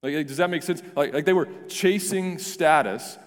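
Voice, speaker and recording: A male pastor with a hoarse, deep, raspy voice, praying and then preaching earnestly, often restarting and repeating words. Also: normal speed. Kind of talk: prayer and sermon. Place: church sanctuary. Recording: reverberant church hall with soft music.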